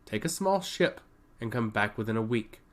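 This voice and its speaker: A man's voice: lowish voice, lively intonation, moderate pace, natural voice. A man voicing a stern, weary king and father in a fantasy story, skeptical and commanding. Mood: grave.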